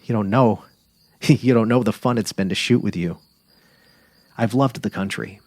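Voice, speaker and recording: A man's voice: on the low side, moderate pace, a little mellow, dry recording, pitched above the performer's own voice. A man voicing an affectionate middle-aged wife on safari, eager and tender, in a dramatic literary reading. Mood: gushing, tender. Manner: cheerful, eager, tender, imploring.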